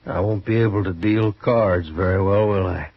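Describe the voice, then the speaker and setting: An actor playing a drunk, wounded gambler in an old western radio drama, husky and halting, with a rueful manner. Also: male voice, low voice, steady tone, no room sound. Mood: rueful, resigned. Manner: slow, halting, rueful chuckling. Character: wounded gambler gunslinger, drunk, bitterly relieved. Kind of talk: 1950s western radio acting. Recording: dull, dry old radio recording.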